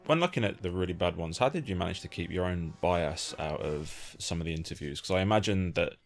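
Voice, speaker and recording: Very deep-voiced male interviewer. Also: animated, a little fast. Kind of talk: casual interview chat. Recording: lo-fi amateur interview setup, music underneath.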